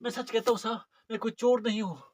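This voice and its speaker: A man's voice: extremely high pitch, steady tone, fast, no room sound. A man playing a terrified, hungry beggar in a dramatic monologue, pleading and grovelling repetitively for mercy. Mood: frightened pleading.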